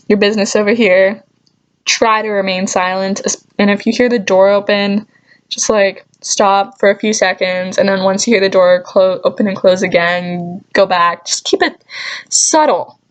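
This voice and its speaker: A woman's voice: mid-range voice, steady tone, measured pace. A woman vlogger telling stories casually, with a joking, mock-scolding manner and dry asides. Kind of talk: casual comedic storytelling to camera.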